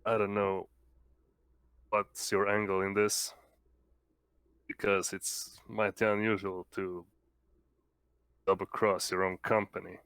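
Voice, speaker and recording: A man playing a grim, cynical company man in a tabletop game, terse, deliberate and blunt. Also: on the low side, flat delivery, fairly bright tone, clean tone, dry recording. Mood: wary, probing.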